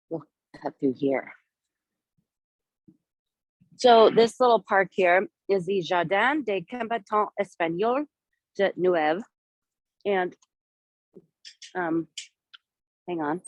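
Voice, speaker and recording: A woman with a cold-worn, hoarse voice leads a live outdoor walking tour, chatting and pointing things out as she goes. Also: medium pitch, no echo, rough recording. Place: outdoors in a city park and streets.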